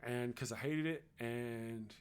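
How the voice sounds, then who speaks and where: A middle-aged man with a Texas accent hosts a casual livestream at an ordinary mid pitch. He rambles along in a loose, chatty way, restarting sentences as he talks to viewers. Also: takes it slowly, smooth. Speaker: livestream host. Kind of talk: casual livestream chat, reading viewer comments.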